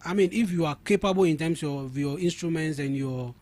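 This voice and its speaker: A man's voice: fairly high pitch, a little fast, darkish tone. Emphatic Ghanaian man arguing a point. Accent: Ghanaian English. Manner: emphatic, argumentative, repeats words while building a point. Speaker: studio guest. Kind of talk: radio talk show interview.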